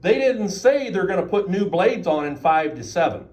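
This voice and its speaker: Emphatic high-voiced man at a meeting. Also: sing-song, normal speed, darkish tone. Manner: emphatic, rhetorical. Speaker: citizen speaking at a county meeting. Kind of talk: public comment at a meeting. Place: county meeting room.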